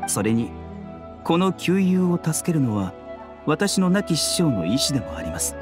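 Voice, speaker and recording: A man playing a gentle young physician in an anime game, composed, polite and formal in his measured delivery. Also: on the high side, sing-song. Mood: calm, courteous. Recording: background music under voice.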